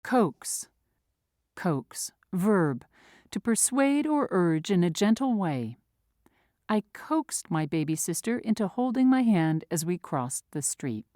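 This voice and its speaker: A woman's voice: on the low side, big pitch swings, slow, dark tone, dry recording, clean studio sound. A woman reading vocabulary words and their definitions aloud with careful dictionary-style enunciation. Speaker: vocabulary reader. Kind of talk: slow, clear read-aloud of word definitions.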